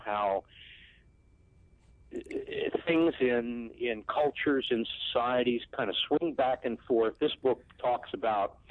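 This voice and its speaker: A man's voice: medium pitch, dry recording, phone-quality sound. A man hosting a radio talk show, speaking in a thoughtful, reflective way. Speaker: radio host.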